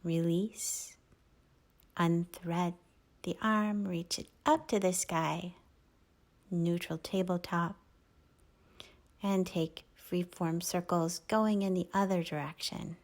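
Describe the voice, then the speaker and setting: Woman yoga teacher, gentle near-whispered guidance, unhurried delivery. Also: on the low side, steady tone. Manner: soft, gentle instructions, often near a whisper. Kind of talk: guided yoga class cues.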